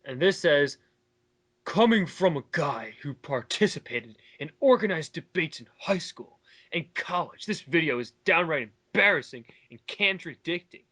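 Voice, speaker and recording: Bright-voiced, exasperated man commenting. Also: fairly high pitch, expressive, moderate pace. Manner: exasperated, sarcastic, argumentative. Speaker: commentator reading and rebutting online comments. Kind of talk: casual mocking commentary.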